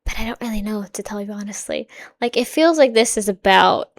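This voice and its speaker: Soft-spoken woman musing aloud. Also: medium pitch, lively intonation, fairly quick. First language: Swedish. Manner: musing, hedging, soft-spoken with frequent quiet trailing asides. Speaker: reaction video host. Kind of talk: casual reaction commentary.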